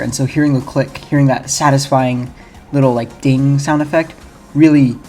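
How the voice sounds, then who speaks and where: A man's voice: mid-range voice, a little mellow. Casual male game commentator over music. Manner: informal, rambling, self-correcting. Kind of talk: casual video essay commentary.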